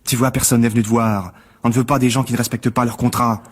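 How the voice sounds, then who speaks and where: A man's voice: medium pitch, little pitch movement, fast, close to an everyday voice. A man acting in a French radio drama as a jealous, calculating rival, condescending, insinuating and smugly cruel. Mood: cruel gloating.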